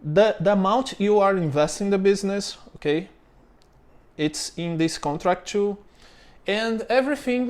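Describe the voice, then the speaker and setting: A man from Brazil, a native Portuguese speaker, explaining steps in English with a Brazilian accent, halting and full of fillers and "okay?" checks. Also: high-pitched, darkish tone. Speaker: former business owner explaining steps. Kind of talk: informal explainer to camera.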